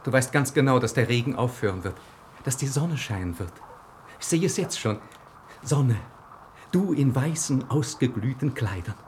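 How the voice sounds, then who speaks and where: An actor playing an idealistic young suitor in a German radio play, earnest, pleading and declamatory. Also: male voice, mid-range voice, animated, normal speed, darkish tone, slight background noise, lightly acted. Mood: earnest, hopeful.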